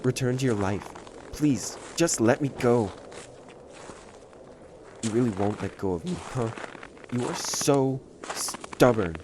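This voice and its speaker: A man playing a proud, prickly stray catboy who hides his loneliness, in an emotional audio roleplay with a haughty, halting delivery. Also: mid-range voice, animated, noisy background. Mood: protesting, softening. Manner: tsundere, haughty, defensive, halting.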